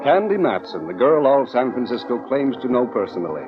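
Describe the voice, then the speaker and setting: A man's voice: mid-range voice, sing-song, brisk. A man working as a radio announcer, delivering jaunty, showy scripted narration in 1940s style. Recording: dull old radio recording. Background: organ music.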